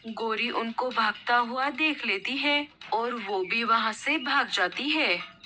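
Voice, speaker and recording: A woman's voice: fairly high pitch, slow, pushed and bright, soft background music. A woman reading scripted narration as the storyteller of a children's tale.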